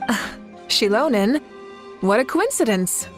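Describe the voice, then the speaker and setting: A woman's voice: on the high side, very animated, unhurried, faint music behind. A woman playing a composed, confident, good-humored leader in a video game, articulate and poised. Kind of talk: video game character voice acting.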